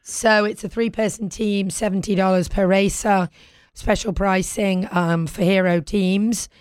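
A British woman hosting a talk show in casual, playful chat, with a husky voice recovering from being lost. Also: medium pitch, steady tone, measured pace, no echo, studio quality. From Britain. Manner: playful, bantering.

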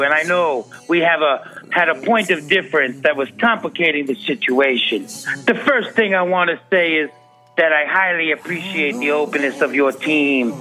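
A man voicing a polite but firm Mexican president in a comedic reading, speaking formally and deliberately. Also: a little high, bright, forceful tone, low-quality recording. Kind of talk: comedic dramatic reading over music.

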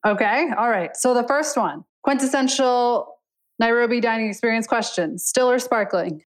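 A woman's voice: a little high, moderate pace, rough recording. A woman co-hosting a podcast, talking in an upbeat, playful way and laughing between lines as she explains a game segment. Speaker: podcast co-host.

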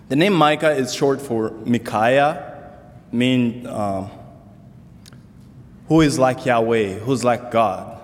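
A man from Congo giving an earnest church talk on scripture as a summer intern, speaking deliberately with some restarts. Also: medium pitch. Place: church sanctuary. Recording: long hall reverb.

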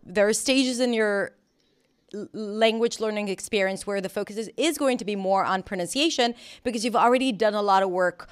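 A woman's voice: medium pitch, brisk, clear, resonant tone. A woman whose first language is Hebrew, a pronunciation teacher explaining her approach in an earnest, conversational interview. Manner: earnest, explanatory, with frequent restarts.

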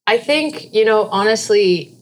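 A woman's voice: medium pitch, lively intonation, unhurried, a little hiss or hum. A woman in her twenties, a guest musician, talking casually and rambling with many restarts. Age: 20s. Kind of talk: casual conversation.

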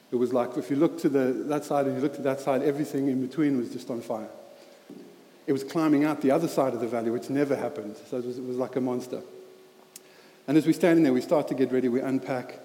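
A man in his thirties with a South African accent, preaching in a church hall. He talks in an earnest, halting way with many fillers and restarts, and his voice is mellow and mid-pitched. The sound is roomy, with a long hall reverb. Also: a little hiss or hum. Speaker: lay preacher. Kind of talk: sermon with personal story.

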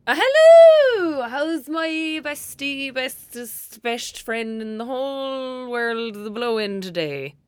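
A woman's voice: sits high, big pitch swings, slow pace, few pauses, darkish tone, clean tone, no echo, full character voice. An actress playing a protective young girl, a caring best friend, in an audio drama, with an Irish accent and a coaxing, soothing manner. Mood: playful.

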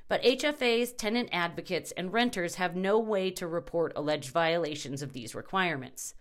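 A woman with a slightly low-pitched voice narrates a written news feature. Her delivery is scripted and measured, relaying facts and quotations alike in her own reporting voice. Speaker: article narrator.

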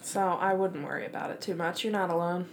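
Soft-spoken reassuring woman podcaster. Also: on the low side, fairly even intonation, fairly quick, a little hiss or hum. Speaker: podcast co-host. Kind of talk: casual chat.

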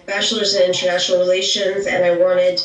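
A woman in her forties who grew up in Saudi Arabia describes her path in a measured, reflective way. Her delivery is flat. She sounds far from the mic in an echoey room. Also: fairly low pitch. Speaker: caller. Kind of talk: introducing herself on a call.

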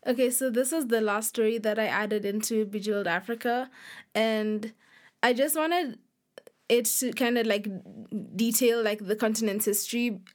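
A woman's voice: on the high side, normal speed. A young woman of about twenty answers interview questions casually, often dropping "like" into her sentences. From Nairobi, Kenya. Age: young adult, about 20. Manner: informal, peppers speech with "like". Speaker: guest author.

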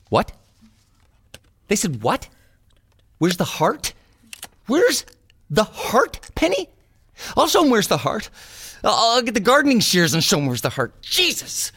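Wry, self-pitying novelist, voice swinging wildly. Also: male voice, mid-range voice, creaky, a little mellow, husky, full character voice. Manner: wry, sarcastic, rambling, melodramatic. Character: bitter, self-pitying sarcastic novelist working an office job. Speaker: actor in an audio drama. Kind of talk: naturalistic satirical audio drama acting.

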